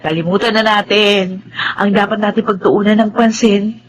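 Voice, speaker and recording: A woman's voice: medium pitch, fairly even intonation, normal speed, a little hiss or hum, close to an everyday voice. An actress playing an earnest, remorseful young woman in a Tagalog radio drama, speaking respectfully and sincerely. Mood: grateful, warm.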